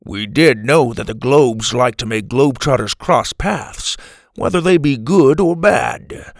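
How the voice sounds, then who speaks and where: A man voicing a thoughtful older grandfather who speaks in a measured way. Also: medium pitch, lively intonation, dry recording, clean studio sound.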